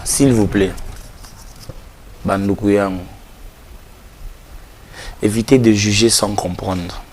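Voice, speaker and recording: A man's voice: lowish voice, steady tone, slow, a little mellow, slight background noise. A man, speaking as an interview guest with a cold, ending in an earnest, preachy appeal. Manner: earnest, exhorting, repeats phrases. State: has a cold. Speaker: gospel artist, interview guest. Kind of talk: casual interview talk, closing with a preachy appeal.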